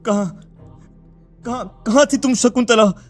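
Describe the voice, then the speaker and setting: A man's voice: very high-pitched, a little slow, soft background music. A man voicing a village father in a cartoon, anguished and pleading as he searches for his lost daughter. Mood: grieving. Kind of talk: cartoon voice acting.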